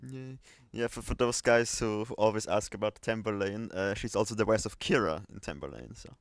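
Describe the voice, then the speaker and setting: Male stream host, clean low voice, close dry sound, unscripted and halting. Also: gravelly, clear, resonant tone, no room sound. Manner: hesitant, rambling. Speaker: livestream host. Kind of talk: casual unscripted livestream chat.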